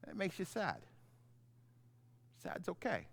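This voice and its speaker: A middle-aged man, a pastor, giving an informal, halting children's message in simple, conversational words. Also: high-pitched, huge pitch swings, gravelly. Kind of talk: gentle children's sermon, informal storytelling.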